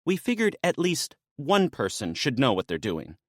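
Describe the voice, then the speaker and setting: A man voicing a sharp-tongued, bossy female maenad with a dry, mocking manner. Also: medium pitch, wildly swooping pitch, normal speed, no room sound, pitched above the performer's own voice. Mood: sarcastic.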